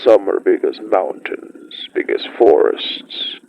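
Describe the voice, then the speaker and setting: Casting: male actor for primeval wolf elder, horror fiction podcast. Grave, poetic, slow delivery with a heavy creaky rasp. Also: low-pitched, animated, highs cut off, full character voice. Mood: ominous, awed. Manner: solemn, measured, poetic. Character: ancient great wolf-like beast, solemn pack elder, recalling primeval winters. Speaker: storyteller. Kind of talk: scripted dramatic monologue, horror fiction podcast.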